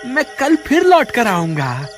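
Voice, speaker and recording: A jumpy village woodcutter from a horror cartoon, played by a man, fretful and exclaiming. His voice sits very high for a man and swings widely, over clear background music. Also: huge pitch swings, a little slow, heavily acted. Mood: nervous, pleading. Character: frightened village woodcutter, a husband, jumpy but stubborn. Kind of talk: Hindi horror cartoon dialogue. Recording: music behind the voice.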